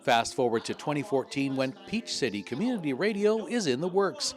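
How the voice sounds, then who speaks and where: A man's voice: a little high, animated, brisk. A man narrating a scripted local television news feature as a reporter.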